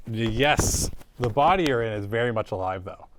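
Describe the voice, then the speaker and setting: A man hosting an improvised comedy interview in a casual, joking, halting way. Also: mid-range voice, lively intonation, rough recording. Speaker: comedy show host.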